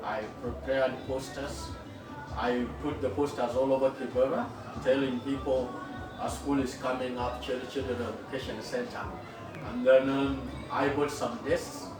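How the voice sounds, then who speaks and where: Middle-aged kenyan guy telling how his school started, earnest and slow, lots of uh's, sounds far off in a boomy room with noise behind. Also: little pitch movement, over music. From western Kenya. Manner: earnest, unhurried, with frequent fillers and restarts. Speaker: school founder and headmaster. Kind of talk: interview answers, storytelling. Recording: echoey room, far from the mic, with noticeable background noise.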